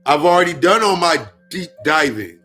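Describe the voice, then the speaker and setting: A man's voice: a little high, expressive, normal speed, sharp tone, faint music behind. A man in his thirties giving a casual spiritual talk, swearing often and repeating lines for emphasis. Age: 30s. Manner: profane, repetitive, emphatic. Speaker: spiritual speaker.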